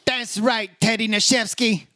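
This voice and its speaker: Radio detective, male actor: high-pitched, hardboiled and sardonic, firing off clipped wisecracks. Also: steady tone, a little slow, sharp tone, no room sound, clean studio sound. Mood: accusing. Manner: sardonic, taunting, clipped phrases. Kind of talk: old-time radio detective drama acting and narration.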